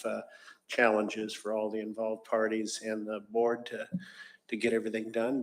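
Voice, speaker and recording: Flat-toned man giving a legislative briefing. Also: on the low side. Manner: matter-of-fact, with fillers. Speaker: legislative liaison.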